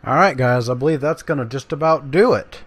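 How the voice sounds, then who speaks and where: A man's voice: medium pitch, brisk, breathy edge, no room sound. A man talking casually and informally to viewers as the host of a let's-play gaming video. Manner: informal, addressing viewers directly.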